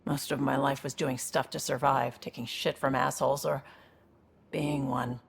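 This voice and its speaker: An actress playing a tough, streetwise woman in a video game, blunt and reflective, with a gravelly voice. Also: extremely low pitch, flat delivery, normal speed, a little hiss or hum, exaggerated voice. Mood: reflective, hopeful. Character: tough, streetwise woman seeking a fresh, honest start.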